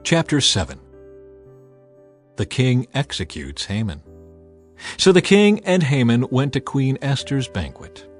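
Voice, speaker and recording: A man's voice: medium pitch, very animated, unhurried, long pauses. A man narrating a dramatized scripture audiobook in an emphatic, theatrical storytelling manner. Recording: music bed behind the voice.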